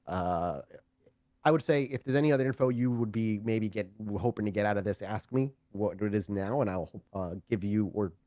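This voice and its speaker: A man narrating a tabletop roleplaying game as storyteller, improvising in a conversational, halting way and often correcting himself. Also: on the low side, mellow, no room sound, studio quality.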